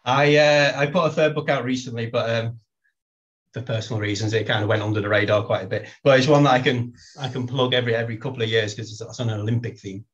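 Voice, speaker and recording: A man's voice: medium pitch, fairly even intonation, fast, highs cut off. A man, a poet guest who chats wryly and reads a comic poem with playful timing. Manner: wry, self-deprecating, reads verse with playful timing. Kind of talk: casual chat and poetry reading.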